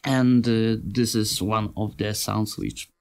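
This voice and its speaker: A man with a non-native English accent giving an informal tutorial as a sound designer, speaking in simple, halting phrases and searching for words. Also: lowish voice, level tone, darkish tone, clean tone. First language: not English. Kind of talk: informal software tutorial narration.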